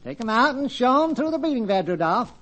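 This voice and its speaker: An actor playing a blunt, stubborn business partner in a 1940s radio play. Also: male voice, extremely high pitch, big pitch swings, brisk, fairly bright tone, muffled recording, heavily acted. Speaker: worm farm partner.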